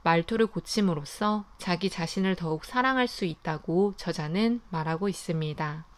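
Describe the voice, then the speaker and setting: A woman hosting a book review, reading her summary and thoughts in a calm, even, scripted way. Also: lowish voice, normal speed.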